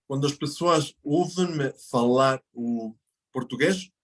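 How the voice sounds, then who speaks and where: A man from Mexico, a native Spanish speaker, chats as an interview guest. His voice sits at an ordinary middle pitch. He explains his points patiently, pausing and correcting himself along the way. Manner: explanatory, thinks aloud with pauses and self-corrections. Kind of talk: casual interview conversation.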